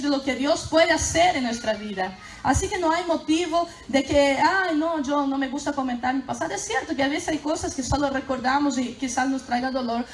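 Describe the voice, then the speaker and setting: A woman whose first language is Portuguese, preaching a sermon with emphatic bursts of fervor. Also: sits high. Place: church hall. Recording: echoey church hall.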